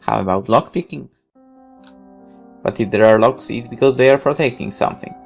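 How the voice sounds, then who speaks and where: A man's voice: mid-range voice, normal speed, gravelly. Man narrating a game over music. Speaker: gaming let's player reading a visual novel aloud. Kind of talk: expressive narration of game text with casual asides.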